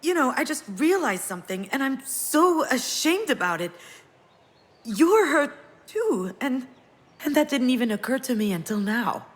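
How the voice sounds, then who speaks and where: An actress playing a grieving, self-reproaching woman in a video game drama, speaking in an earnest, reflective, halting way. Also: high-pitched, big pitch swings, heavily acted. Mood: ashamed, emotional. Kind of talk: dramatic visual novel voice acting with inner narration.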